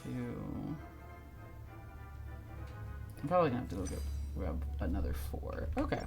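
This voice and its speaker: A woman's voice: extremely low pitch, sing-song, moderate pace, gravelly. A woman game streamer giving casual, irreverent, self-mocking live commentary with some swearing. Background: faint game music.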